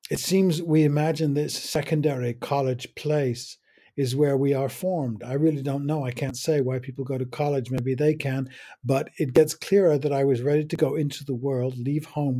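An older man muses over his memories in a measured, unhurried monologue. His voice is mellow and a little husky, and he lets short phrases fall apart with long pauses between them. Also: mid-range voice. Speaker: podcast host. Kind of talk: reflective personal monologue.

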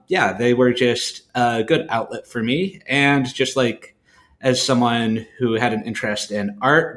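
A man's voice: medium pitch, a bit bright. A man co-hosting in a casual chat, talking in a thoughtful, rambling, conversational way. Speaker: podcast co-host.

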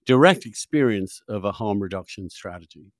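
A man's voice: lowish voice, expressive, normal speed, no room sound, clean studio sound. A man hosting a conversational interview, probing and cutting in with questions. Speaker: interview host.

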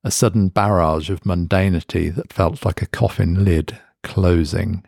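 A male narrator reads a scripted horror story with a low voice and a gravelly grain. His intonation moves a lot. The sound is dry and close, with no room around it. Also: steady tone, a little slow, a little mellow, no echo. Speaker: narrator, reader of horror stories.

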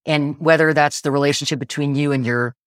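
A woman's voice: deep voice, fairly even intonation, fairly quick, no echo, studio quality. A woman in her forties, a real estate agent, explaining the buying process in a casual interview and laughing easily.

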